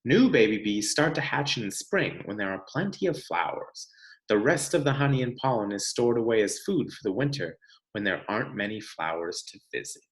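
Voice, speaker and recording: Animated American man reading aloud, echoey room. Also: on the low side, brisk. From California, United States. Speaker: read-aloud host, teacher reading to children. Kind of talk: children's picture book read-aloud with casual asides.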